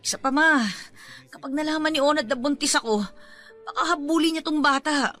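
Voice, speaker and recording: A high, piercing Filipino-accented female voice acted by a woman plays a distraught pregnant daughter in a radio-style drama. She is defiant and emphatic, pleading with raw feeling, with music underneath. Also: steady tone, a little fast, sharp tone. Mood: resolute, pleading.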